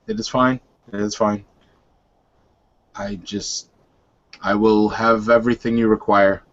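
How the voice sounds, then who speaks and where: A man playing an earnest, courteous werewolf suitor in a tabletop game, with formal, deliberate and slightly halting speech. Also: lowish voice, flat delivery, muffled recording, natural voice.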